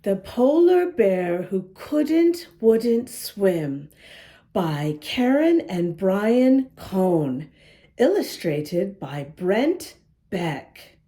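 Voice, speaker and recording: A woman's voice: medium pitch, very animated, drawn-out words, mellow. A woman hosting a children's story time, reading a picture book expressively and chatting to young listeners in a sing-song way. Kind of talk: children's read-aloud with asides to young listeners.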